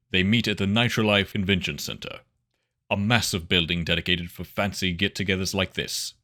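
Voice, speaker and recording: Very deep, bright-toned male narrator. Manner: measured, dramatic. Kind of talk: scripted dramatic narration.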